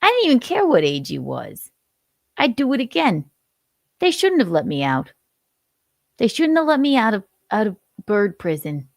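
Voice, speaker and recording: A gleeful, menacing hawk villain in his forties, put on by a woman, bragging without a trace of regret. The voice is gravelly and swoops up and down. It sounds close and dry. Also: fairly high pitch, wildly swooping pitch, moderate pace, long pauses, no echo, full character voice. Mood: unrepentant. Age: 40s. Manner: gleeful, unrepentant, menacing boasts. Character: violent male hawk who hates bald men.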